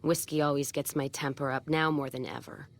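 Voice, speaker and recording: An actress playing a brusque, world-weary, hot-tempered wasteland trader in a video game. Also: female voice, low voice, little pitch movement, a little fast. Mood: bitter, weary. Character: tough, hard-drinking, hot-tempered wasteland trader woman. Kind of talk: video game character dialogue.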